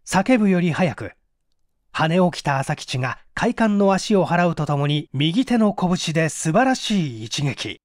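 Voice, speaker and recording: A man's voice: on the high side, no room sound, studio quality. A man reading mystery fiction aloud as a dramatic scripted narration. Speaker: audiobook reader.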